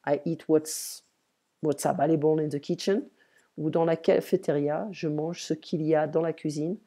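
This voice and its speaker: A woman's voice: low voice, steady tone, moderate pace, warm tone. A woman teaching French in an online lesson, moving between French and English and explaining patiently.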